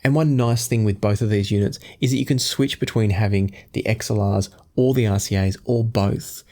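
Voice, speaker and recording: A man in his forties presenting a product review to camera in a measured, explanatory way. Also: lowish voice, dark tone. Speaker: audio gear reviewer and host. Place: small home studio room indoors.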